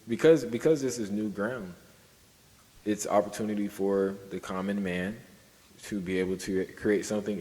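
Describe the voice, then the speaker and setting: A man co-hosting a podcast in casual discussion, halting and circling back over his points. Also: sits low, a little mellow, long reverb tail, low-quality recording. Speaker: podcast co-host.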